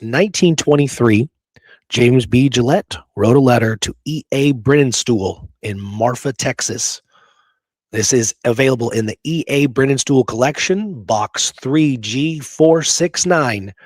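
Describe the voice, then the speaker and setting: A man's voice: mid-range voice, no echo. Man talking history casually, dry close sound. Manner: emphatic, conversational, often checking with "right?" and "okay". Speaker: podcast host. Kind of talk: casual history talk from notes.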